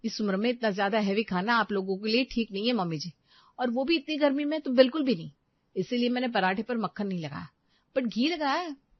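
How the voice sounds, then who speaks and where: A woman plays a young Indian housewife in a cartoon story, exasperated with her family and quick to scold. She fusses over what everyone eats. The sound is very dry and a little lo-fi. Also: on the high side, lively intonation, no echo. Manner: scolding, fussy.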